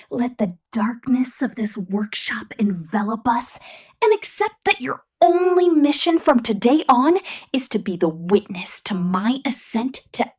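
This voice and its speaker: Woman acting a female killer robot, husky half-whisper, swooping intonation, possessive, megalomaniac, seductively menacing, ASMR roleplay. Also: a little high, exaggerated voice. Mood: menacing, grandiose. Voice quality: breathy, whispery.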